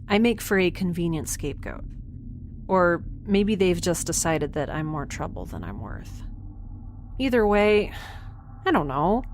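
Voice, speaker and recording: Woman acting wry, anxious space castaway, lowish voice. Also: a little hiss or hum. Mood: wry, flustered. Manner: hesitant, self-correcting, rambling, dryly wry. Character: anxious, wry young woman stranded in space, recording a log. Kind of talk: audio drama monologue, confiding diary narration.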